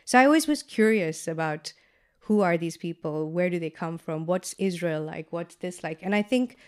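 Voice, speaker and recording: A woman with a notably low, deep voice recounts her upbringing in a relaxed interview. She is chatty and animated, with frequent "like" fillers and quick asides. She comes from Kenya and speaks with easy, open warmth of memory. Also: clean tone. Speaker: guest entrepreneur. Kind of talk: casual interview storytelling.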